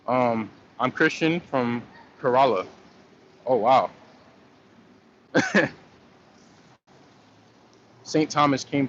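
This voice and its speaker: A man chats on a relaxed livestream, rambling through viewer comments in a loose, slangy way with plenty of fillers and restarts. His voice sits at an ordinary middle pitch. The stream audio is dull and lo-fi, with a faint hiss of noise behind it. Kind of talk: casual livestream chat.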